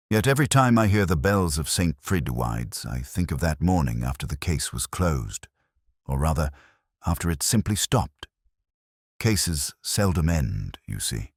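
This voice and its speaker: A weary English detective , acted by a man, recounts a mystery in the first person with melancholy reflection. The voice is rock-bottom low and husky, solemn and measured, yet it rises and falls expressively through each wistful literary phrase. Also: no room sound, studio quality, exaggerated voice.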